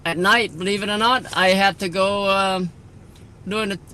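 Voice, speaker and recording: A man in his fifties from Cambodia, speaking haltingly as a radio interview guest, with many fillers and restarts. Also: high-pitched, fairly even intonation, sharp tone, slight background noise. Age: 50s. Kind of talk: phone-in interview.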